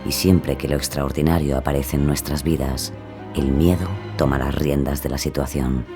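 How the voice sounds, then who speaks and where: A brooding horror podcast narrator, with an extremely low voice. He talks deliberately and intimately to the listener, ominous over clear background music. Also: steady tone. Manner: deliberate, rhetorical, addresses the listener directly.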